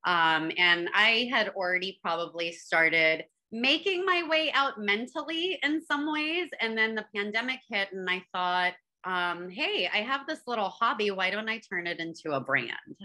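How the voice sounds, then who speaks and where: A woman's voice: mid-range voice, a little slow, few pauses. A woman, talking casually as an interview guest about her business, with lots of fillers and restarts. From born in Afghanistan, raised in Northern California. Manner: relaxed, chatty, many fillers and restarts. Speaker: guest, candle brand founder.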